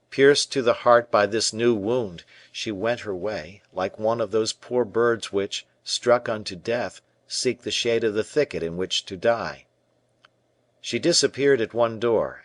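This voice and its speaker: A man narrating a classic novel as an audiobook, with even, measured storytelling. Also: on the low side, dry recording. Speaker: audiobook reader.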